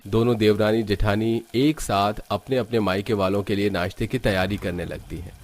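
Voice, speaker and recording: Low-voiced man narrating a story. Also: fairly even intonation, normal speed.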